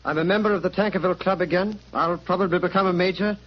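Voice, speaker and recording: An actor with a British accent playing an earnest, honourable young army captain in a vintage radio drama. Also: male voice, high voice, fairly even intonation, fast, close to an everyday voice. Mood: cheerful. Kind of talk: 1940s radio drama acting. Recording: muffled 1940s radio recording, faint hiss.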